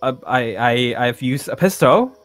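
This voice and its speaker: A man's voice: mid-range voice, expressive, measured pace, fairly bright tone, no room sound, faint music behind. A man playing a nervous, forgetful celebrity in a Minecraft zombie roleplay, hesitant and stammering as he repeats his words. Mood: flustered. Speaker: roleplay player. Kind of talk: improvised roleplay acting in a Minecraft series.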